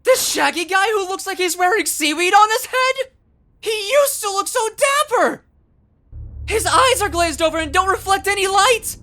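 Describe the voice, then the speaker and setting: A woman's voice: very high-pitched, normal speed, heavily acted. A woman playing an earnest, easily flustered young rookie detective in an anime dub, blurting out thoughts. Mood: shocked. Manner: excitable, thinks out loud, blurts things.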